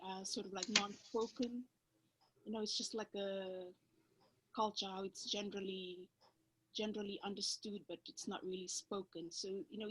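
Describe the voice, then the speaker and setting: A woman with a Fijian accent, a native Fijian speaker, talks through a video call in a panel discussion. She is thoughtful and hesitant, pausing often on filler sounds, with a voice of ordinary pitch. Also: husky, rough recording. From Fiji.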